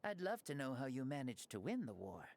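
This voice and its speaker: An actor playing a genial ancient man returned from death, telling his tale gently in game cutscene dialogue. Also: mid-range voice, vocal fry. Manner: gentle, lively, measured storytelling. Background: soft game music.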